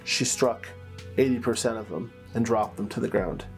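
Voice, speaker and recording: Man narrating fantasy lore over soft music. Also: lowish voice, moderate pace. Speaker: storyteller, game master and channel host. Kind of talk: dramatic fantasy lore narration with casual asides. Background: soft plucked-string music.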